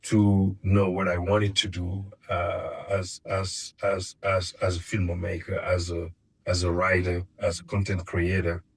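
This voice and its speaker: A middle-aged man with a very deep, husky voice. He talks in a halting way, restarting phrases and repeating words as he finds his thought. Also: completely flat delivery, poor audio. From Senegal. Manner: hesitant, repeats words while searching for them. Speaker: guest filmmaker. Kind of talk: casual interview.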